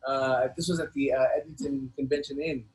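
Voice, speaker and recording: A middle-aged man reminiscing casually as an interview guest, in a halting, slangy way that often doubles back on words. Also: medium pitch, fairly even intonation, low-quality recording. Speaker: guest, rapper.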